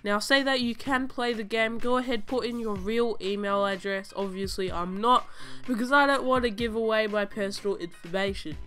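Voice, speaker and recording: A woman's voice: a little high, normal speed, a bit bright, faint music behind. A young woman hosting a gaming channel, stuffy from a cold, chattily walking viewers through a game tutorial step by step in a casual voice-over. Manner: chatty, repeating instructions step by step. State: sick with a cold, stuffy-nosed. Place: home voice-over over gameplay footage.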